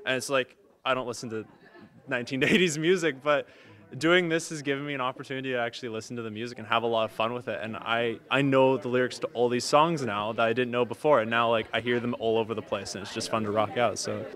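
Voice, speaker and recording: A teenage boy speaking quickly and eagerly, rambling through his answers with plenty of "like". He talks into a handheld interview mic backstage, in a mid-pitched voice. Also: fairly even intonation, a bit bright. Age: teens. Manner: eager, rambling, many "like" fillers. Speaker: student actor. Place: backstage by a curtain.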